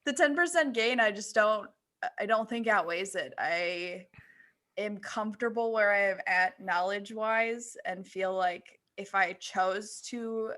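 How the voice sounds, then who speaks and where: Bright-voiced woman chatting on a podcast. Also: mid-range voice. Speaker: podcast co-host. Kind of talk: casual panel podcast talk.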